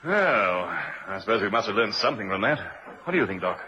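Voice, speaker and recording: An actor playing a shrewd, courteous police inspector in a vintage radio drama, polite and probing. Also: male voice, lowish voice, sing-song, fairly quick, background noise. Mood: musing. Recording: muffled vintage radio recording.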